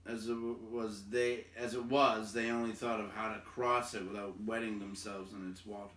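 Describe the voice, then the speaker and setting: A man reading a fantasy story aloud in a dramatic, measured style, with suspenseful emphasis and pauses. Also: on the low side, flat delivery, a bit bright. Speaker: storybook reader. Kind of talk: dramatic read-aloud narration of a fantasy novel. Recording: roomy echo, distant microphone.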